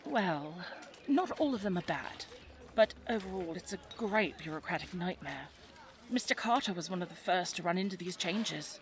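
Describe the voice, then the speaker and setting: A woman's voice: lowish voice, lively intonation, moderate pace, lightly acted. A woman playing a headstrong, caring young English daughter in a 1920s audio drama, with well-spoken, formal period phrasing. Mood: wry. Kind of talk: scripted audio drama acting.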